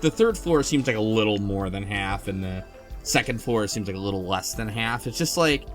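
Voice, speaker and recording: A man's voice: fairly low pitch, lively intonation, normal speed. A man in a casual discussion of a game, tentative and rambling as he thinks aloud. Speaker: podcast panelist. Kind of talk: casual chat about a visual novel. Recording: faint music behind the voice. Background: faint music.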